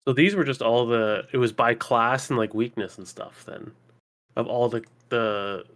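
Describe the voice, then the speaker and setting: A man's voice: medium pitch, unhurried, a bit bright. A man on a podcast panel chatting casually in a hesitant, questioning way. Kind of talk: casual chat.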